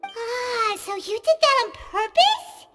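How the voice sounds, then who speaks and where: A woman voicing a tiny, excitable, impatient childlike fairy companion in a video game, with a squeaky voice. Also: slow pace, very noisy, full character voice. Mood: curious, uneasy. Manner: speaks of herself in third person, exclaiming. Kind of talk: animated video game character acting. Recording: reverberant, loud game music behind.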